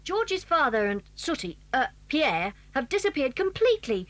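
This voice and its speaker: A woman's voice: high-pitched, very animated, normal speed, a bit bright, poor audio. A woman playing an earnest, polite, determined schoolchild in a children's audio drama. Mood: earnest, insistent. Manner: polite, insistent.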